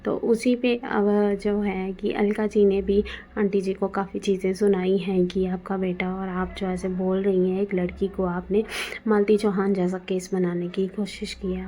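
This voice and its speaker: A woman's voice: medium pitch, warm tone, slight background noise. A woman giving casual, opinionated commentary in a conversational way. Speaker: video commentator.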